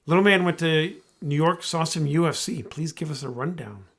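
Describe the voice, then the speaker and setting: Teasing man with a higher voice. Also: normal speed, a bit bright. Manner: teasing, opinionated, asks leading questions. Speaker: podcast co-host. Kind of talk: casual sports chat.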